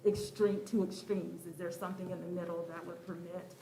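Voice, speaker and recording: A woman with a low voice and little pitch movement poses a polite, carefully worded question in a meeting. The room echoes and there is faint noise. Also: flat delivery, a little fast, mellow. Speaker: commission member questioning staff. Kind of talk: formal but conversational meeting question. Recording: roomy echo with faint background noise.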